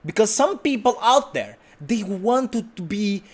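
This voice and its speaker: A man's voice: high voice, very animated, measured pace. A man sitting on his sofa at home, delivering an unedited vlog monologue in a brash, self-assured way, rambling with restarts and the odd swear word. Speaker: vlog host.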